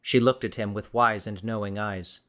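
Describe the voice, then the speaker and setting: A man's voice: on the low side, fairly even intonation, normal speed, fairly bright tone. A man reading scripted, dramatic story narration as an audiobook narrator.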